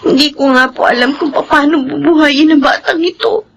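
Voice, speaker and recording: A woman's voice: high-pitched, normal speed, fairly bright tone, slight background noise. A Filipino actress playing a distraught, guilt-ridden young widowed mother in a radio drama. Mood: sorrowful, resigned.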